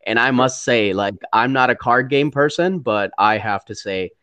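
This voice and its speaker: A man's voice: mid-range voice, moderate pace, fairly bright tone, dry recording. A man hosting a casual interview, enthusiastic and effusive about his guest. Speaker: interview host for a community.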